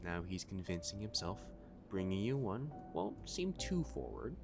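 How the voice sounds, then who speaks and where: Man as a low, creaky-voiced catboy waiter. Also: big pitch swings, unhurried, over music. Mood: teasing, fond. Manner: gentle, soothing, lightly teasing. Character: caring, teasing male catboy cafe waiter. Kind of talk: ASMR roleplay.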